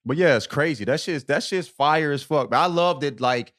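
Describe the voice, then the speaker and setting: A man's voice: fairly high pitch, normal speed, fairly bright tone. A man co-hosting a casual comedy podcast, talking in profane slang and repeating phrases for emphasis. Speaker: podcast co-host.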